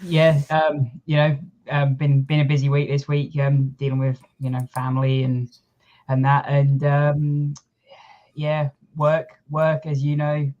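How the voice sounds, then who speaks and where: A man co-hosting a live-stream talk show, low-key and halting, giving brief replies. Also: completely flat delivery, a little mellow, muffled recording. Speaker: podcast co-host. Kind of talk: casual live-stream chat.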